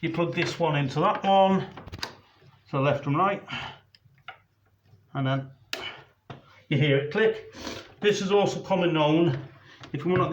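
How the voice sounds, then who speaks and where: A man's voice: a little high, a little hiss or hum. A man, a DJ, giving a casual how-to demonstration while handling gear, rambling and restarting sentences as he goes. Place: room with a camera operator. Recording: roomy, slightly dull home video audio.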